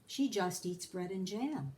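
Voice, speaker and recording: A woman's voice: mid-range voice, animated, measured pace, darkish tone, slight background noise, close to an everyday voice. A woman voicing a patient, practical mother in a children's storybook in a matter-of-fact way.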